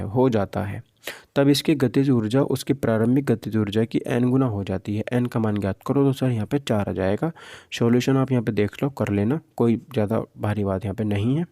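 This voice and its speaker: A male teacher walks students through practice questions. His voice is relaxed and mellow at an average pitch, recorded very dry. He teaches informally, often asking a question and then answering it himself. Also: dry recording. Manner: informal, Hindi mixed with English terms. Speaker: physics teacher. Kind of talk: exam practice lesson, question and answer walkthrough.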